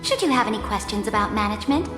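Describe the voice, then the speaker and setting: A woman's voice: mid-range voice, animated, very fast, close to an everyday voice. An actress voicing a regal, self-assured female imperator in a video game, formal and commanding. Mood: gracious, commanding. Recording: echoey hall, faint music.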